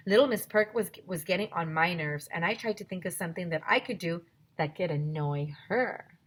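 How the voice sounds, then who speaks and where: Lady teacher doing storytime for kids, lowish voice, really acts out the lines. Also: normal speed. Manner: expressive, theatrical emphasis. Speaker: teacher reading a children's book aloud. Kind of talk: animated children's storytime read-aloud.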